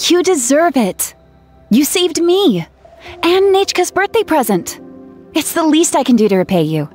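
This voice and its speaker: A young tribeswoman in a video game. Her voice is fairly high, and she is friendly, earnest and persuasive, with music behind her. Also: very animated, measured pace, darkish tone. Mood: grateful.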